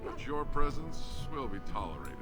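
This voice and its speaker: A man's voice: mid-range voice, big pitch swings, normal speed, music in the background, close to an everyday voice. A man playing a formal, disdainful dwarven city guard in a fantasy video game, stiff and stern. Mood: cool formality.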